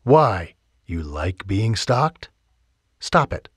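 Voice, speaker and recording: A man's voice: fairly low pitch, very animated, very slow, slightly rough, no room sound, studio quality, full character voice. A man putting on a stressed, stubborn young woman. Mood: upset.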